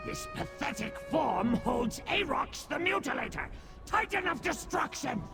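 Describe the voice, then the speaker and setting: A man voicing a small cursed demon in a video game, servile yet boastful, with a gravelly croak and theatrical delivery. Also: very high voice, sing-song, unhurried, over music, heavily acted. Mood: indignant boasting. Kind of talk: video game character acting.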